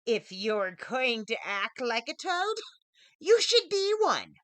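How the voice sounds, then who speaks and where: A woman acts out an old hag witch from a storybook, scornful and scolding. Her words are hissed and stretched for emphasis, high and sharp, with big swings in pitch. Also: sing-song, dry recording, heavily acted. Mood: angry scolding. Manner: hissing, scolding, drawn-out emphatic delivery.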